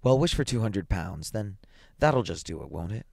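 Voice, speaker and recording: Guy reading as a teasing young fella in a storybook, flippant, still pretty low pitched. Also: sing-song, moderate pace, creaky, darkish tone, dry recording, clean studio sound, full character voice. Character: cheeky, teasing young adult son.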